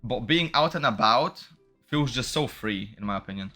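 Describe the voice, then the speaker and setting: A young man streams a game in a bright, forward voice, thinking out loud in loose, slangy language. His pitch sits in an ordinary middle range, and faint music plays behind him. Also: lively intonation, normal speed. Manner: informal, slangy, musing aloud. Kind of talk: casual gameplay commentary, reading dialogue aloud.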